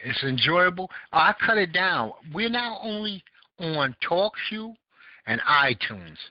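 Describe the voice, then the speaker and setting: A man's voice: high voice, very animated, slow, no room sound. A man with a Southern American accent hosting a casual call-in podcast, rambling and searching for words with many fillers. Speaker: podcast host. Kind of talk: casual call-in talk show chat. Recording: dull lo-fi audio.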